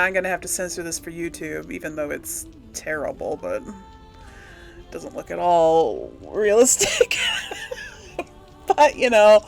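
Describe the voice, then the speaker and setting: A woman's voice: fairly low pitch, gravelly. A woman giving casual, giggly, informal commentary as she plays a video game.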